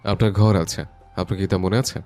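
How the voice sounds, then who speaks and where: A man playing a brooding, gruffly tender young newlywed hero in an audio love story. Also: sits low, steady tone, moderate pace, dark tone, faint music behind, lightly acted. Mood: teasing, mock stern. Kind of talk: Bengali audio story acting.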